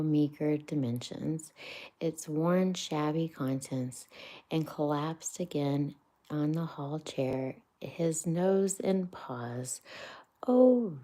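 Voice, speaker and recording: A woman streamer reading a classic children's book aloud in a soft, half-whispered voice, stumbling now and then over words. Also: deep voice. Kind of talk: live-streamed storybook reading.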